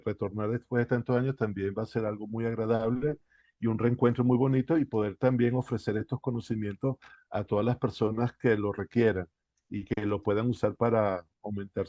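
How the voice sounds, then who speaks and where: Soft-spoken man from Spain, muffled line. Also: lowish voice, no room sound. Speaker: guest researcher and therapist. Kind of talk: explanatory interview talk. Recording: dull, dry lo-fi line.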